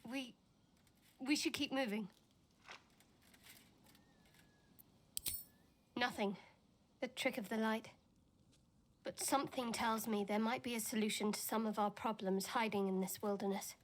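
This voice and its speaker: Actress as a guarded, higher-voiced young priestess. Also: female voice, fairly even intonation, close to an everyday voice. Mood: uneasy, guarded. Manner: measured, cool, guarded. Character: guarded, devout young woman cleric of a dark goddess. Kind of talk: video game dialogue acting.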